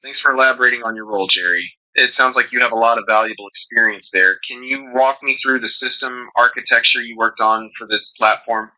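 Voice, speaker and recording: Very bright, crisp-edged synthetic male interviewer. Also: mid-range voice, steady tone, fairly quick, few pauses, dull audio. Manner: formal, polite, encouraging. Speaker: AI job interviewer. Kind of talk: scripted interview questions.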